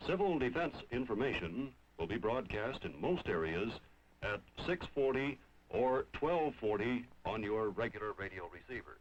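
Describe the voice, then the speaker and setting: A man's voice: fairly low pitch, big pitch swings, moderate pace, a little hiss or hum. A man reading a formal emergency radio announcement in a 1950s drama. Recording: dull old radio broadcast sound.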